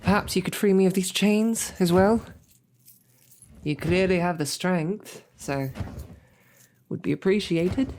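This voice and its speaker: A woman's voice: lowish voice, a little slow, long pauses, a little mellow, close to an everyday voice. A woman voicing a snarky, formal captured royal knight in an audio roleplay. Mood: huffy, resigned. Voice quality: formal, wordy and wry. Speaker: audio drama performer.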